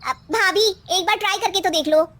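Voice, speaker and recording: A woman voicing an exasperated young married daughter with an insistent manner in an animated story. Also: very high-pitched, fairly quick, pitched above the performer's own voice. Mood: persuading.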